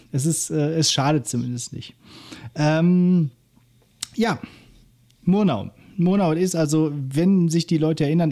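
A man's voice: mid-range voice, dark tone. A man co-hosting a podcast, chatting casually and rambling with many fillers and restarts. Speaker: podcast co-host. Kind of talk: casual chat. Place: holiday flat.

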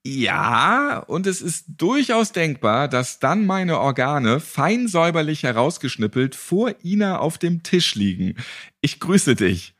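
A man's voice: a little high, very animated, slow, fairly bright tone. A man hosting a casual interview podcast in a jokey, playful way. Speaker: podcast host.

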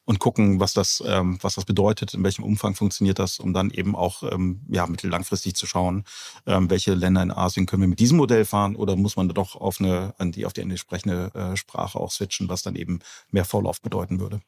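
Male founder being interviewed, halting and casual, with a husky, fry-laden voice. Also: low-pitched, level tone, a little mellow. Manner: hesitant, searching for words. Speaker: company co-founder, podcast guest.

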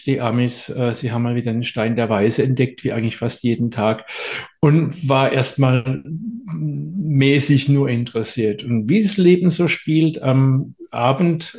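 A middle-aged man, a therapist, telling a story in a relaxed interview, with many filler sounds and pauses. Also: mid-range voice, vocal fry. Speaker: therapist and guest.

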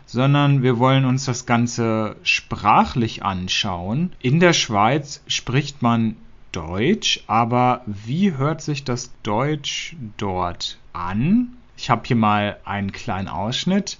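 A man from Germany, hosting a podcast and explaining slowly and clearly for learners. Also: mid-range voice, animated, a bit bright, muffled recording. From northern Germany. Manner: slow, clear, deliberate speech for learners. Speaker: podcast host.

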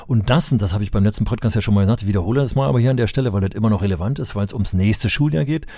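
A man's voice: fairly low pitch, racing, dark tone, no room sound, clean studio sound. An older man from Berlin co-hosting a podcast, talking emphatically and getting heated at times. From Germany (Berlin). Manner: impassioned, emphatic when angered, rhetorical. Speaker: podcast co-host, education advocate. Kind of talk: conversational podcast discussion.

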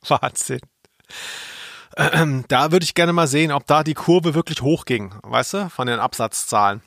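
A man's voice: fairly high pitch, measured pace, gravelly, a bit bright, dry recording, clean studio sound. A man co-hosting a podcast in casual, colloquial chat with a wry manner. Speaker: podcast co-host. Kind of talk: casual chat about audio dramas.

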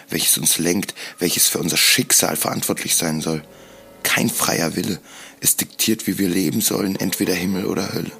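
A man narrating a scripted first-person audio drama in a solemn, deliberate storytelling manner. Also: deep voice, creaky, a bit bright. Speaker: podcast host.

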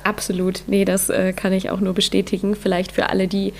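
A woman hosting a podcast, reading an intro and then chatting with a guest, her voice hoarse from a cold. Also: medium pitch, monotone, fairly quick, a little mellow. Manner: upbeat, with many fillers. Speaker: podcast host. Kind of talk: interview podcast, scripted intro then chat.

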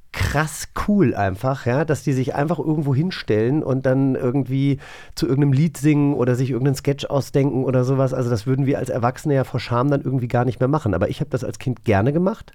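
A man with a middling pitch co-hosts a casual talk show. He chats in a loose, rambling way and corrects himself as he goes. The sound is dry and close. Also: warm tone, no echo. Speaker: podcast co-host. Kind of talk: casual chat.

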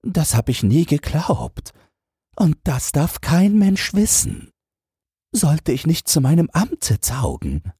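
A man's voice: on the high side, very animated, takes it slowly, dark tone, husky, dry recording, studio quality, voice pushed up, full character voice. A man voicing an anxious, hesitant old minister in a fairy tale, flustered and eager to please. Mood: anxious, bewildered.

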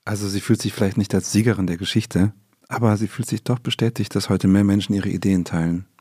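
A man co-hosting, calmly summarizing the guest's views. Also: fairly low pitch, brisk, husky, no room sound, studio quality. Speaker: podcast co-host, reporter. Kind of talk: summarizing narration.

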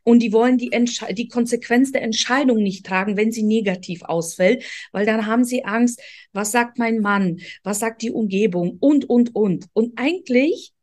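A woman's voice: a little high, normal speed, darkish tone. A woman from Ukraine, hosting an interview and talking in an earnest, motivational, direct way. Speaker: host. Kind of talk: interview conversation.

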